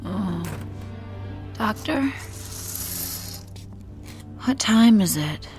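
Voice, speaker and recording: A woman's voice: mid-range voice, lively intonation, drawn-out words, darkish tone, soft background music. A woman acting a desperate, pleading hospital patient in a radio drama. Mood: subdued, anxious.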